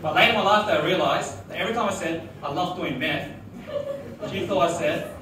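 Young male stand-up, high-ish voice, deadpan delivery, live bar recording from far back. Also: fairly quick, noisy background. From Australia. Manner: deadpan one-liners with laughing asides. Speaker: stand-up comedian. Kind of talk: stand-up comedy set. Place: small restaurant bar, live audience. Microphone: handheld mic, filmed from far back. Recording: echoey room from far off, loud crowd.

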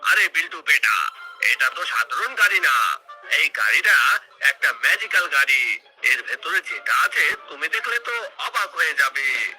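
A man's voice: a little high, very animated, measured pace, sharp tone, faint music behind, heavily acted, rough recording. A man voicing a kindly, generous father in a children's cartoon. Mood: generous, coaxing.

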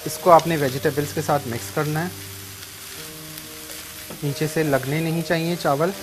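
A man's voice: a little high, steady tone, normal speed, music in the background, low-quality recording. A man in his thirties with a Sindhi accent, hosting a TV cooking show from a studio kitchen and explaining each step as he cooks. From Sindh, Pakistan. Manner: instructive, repeats steps in two languages. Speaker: TV cooking show chef and host. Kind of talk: cooking demonstration, taking phone calls.

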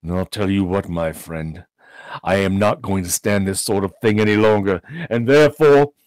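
A man's voice: low voice, normal speed, a little mellow, clean tone, no echo, lightly acted. A man acts out an old miser who has found joy, in a classic storybook tale. He blusters with mock sternness and exclaims with hearty generosity. The sound is close and dry. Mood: mock stern. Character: reformed old male miser, gleeful, mock-gruff, bursting with generosity.

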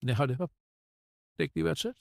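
Earnest young student, talkative. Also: male voice, a little high, normal speed, creaky, darkish tone, no room sound, studio quality. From Bangladesh. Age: young adult. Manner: earnest, talkative, hesitant with fillers. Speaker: college student, interviewee. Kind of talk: casual talk to camera. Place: outdoors in a park.

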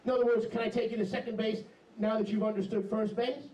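A middle-aged man preaching an animated, emphatic sermon into a handheld mic in a small congregation hall. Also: high-pitched, fast, highs cut off. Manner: animated, emphatic, jumps into mocking voices. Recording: echoey hall, camera far off.

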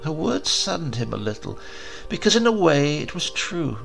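A man narrating a science-fiction horror story in an expressive, storytelling manner. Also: fairly high pitch, moderate pace, vocal fry, rough recording. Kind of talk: audiobook narration. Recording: reading over music. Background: music.

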